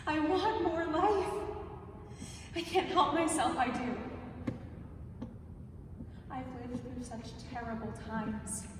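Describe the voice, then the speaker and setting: A woman performing a dramatic monologue as an anguished, defiant, gravely ill thirty-year-old, with impassioned outcries and hushed pleading in a live staged reading. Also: high-pitched, steady tone, slow, pauses often. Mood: anguished, resolute. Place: large reverberant museum space, live, distant mic. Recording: distant, noisy, very echoey museum hall.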